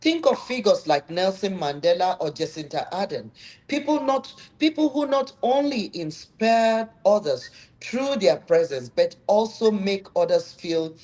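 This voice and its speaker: A woman hosts a conversation, talking slowly and deliberately. Her delivery is measured and motivational. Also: mid-range voice, animated, darkish tone, low-quality recording. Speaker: host. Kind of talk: conversational live-stream talk.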